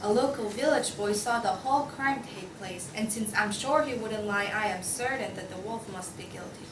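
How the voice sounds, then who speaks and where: A woman's voice: mid-range voice, normal speed, natural voice. A woman playing an impatient, argumentative pig juror in a student play reading. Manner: insistent, exasperated. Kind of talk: student table read of a comic play. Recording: echoey noisy room, distant mic.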